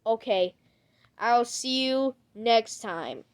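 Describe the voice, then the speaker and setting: A woman acting out an improvised amateur skit, speaking haltingly and repeating her words. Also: fairly high pitch, lively intonation, background noise. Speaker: creator acting out an emergency alert skit.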